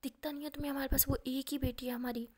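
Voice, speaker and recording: A stern, protective father scolds his wife over their daughter, as a woman whispering puts him on. The voice stays high and soft, never rising above a whisper. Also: flat delivery, rapid-fire, natural voice. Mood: angry.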